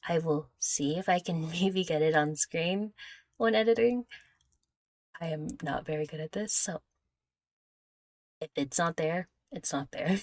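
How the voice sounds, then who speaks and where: A young woman with a fairly low voice speaks close to the mic in a very dry space. She hosts a casual Q&A, wandering through her answers in a giggly, self-deprecating manner. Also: a little slow, pauses often, no room sound. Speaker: content creator answering viewer questions. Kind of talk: casual Q&A chat.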